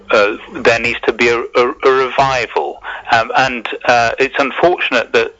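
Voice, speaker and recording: A man giving earnest, measured radio interview answers as a community spokesman, in a hesitant, halting way with repeated words. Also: lowish voice, bright, forceful tone. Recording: muffled lo-fi radio recording.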